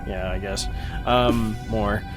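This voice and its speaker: A man's voice: lowish voice, steady tone, slow. A man playing a tabletop game, talking casually with the others at the table. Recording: music playing behind the voice.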